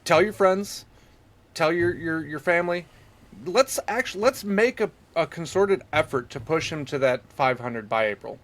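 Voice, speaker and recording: A man's voice: on the high side, lively intonation, moderate pace, fairly bright tone. Soothing-voiced male podcast co-host. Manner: friendly, supportive. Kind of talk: casual podcast chat.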